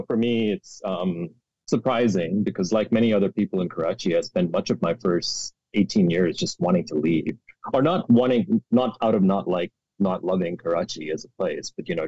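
A man's voice: low-pitched, a little mellow, no room sound, highs cut off. A man in his forties from Pakistan, a history professor being interviewed, who speaks reflectively with frequent restarts and fillers and a wry turn of phrase. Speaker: guest historian.

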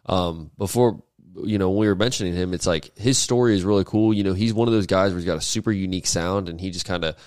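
A man's voice: sits low, quick pace, darkish tone, clean tone, no room sound, clean studio sound. A young man hosting a podcast, asking questions in a loose, rambling, casual way. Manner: rambling, casual, lots of fillers and swearing. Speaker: podcast host.

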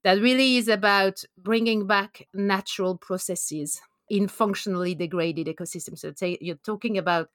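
A woman's voice: medium pitch, normal speed, a bit bright, dry recording, clean studio sound. A woman from France with a French accent, a conservation biologist being interviewed, speaking thoughtfully with frequent ums and uhs. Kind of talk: podcast interview.

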